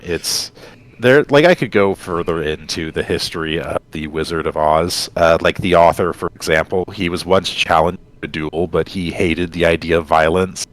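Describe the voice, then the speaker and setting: A man with a low voice talks casually as a podcast co-host. He explains at length and wanders off into side stories in a conversational way. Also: normal speed. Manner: rambling, explanatory, digressive storytelling.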